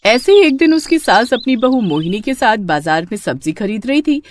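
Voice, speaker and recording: A woman's voice: on the high side, big pitch swings, moderate pace. A woman narrating a scripted moral tale as a storyteller.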